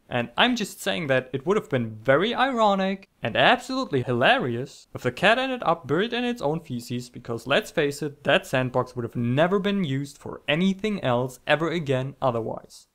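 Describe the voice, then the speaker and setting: A man's voice: fairly high pitch, very animated, normal speed, few pauses, a bit bright. A young man narrating scripted comic stories in a theatrical, mock-epic manner, with self-deprecating asides. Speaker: online storyteller.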